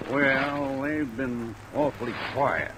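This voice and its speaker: A man's voice: medium pitch, takes it slowly, close to an everyday voice. Man playing a guarded lawyer, noisy vintage radio. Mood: wary, warning. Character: guarded, suspicious small-town male defense lawyer. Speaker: radio actor. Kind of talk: 1940s-50s radio drama acting. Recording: noisy old radio recording, rain over it.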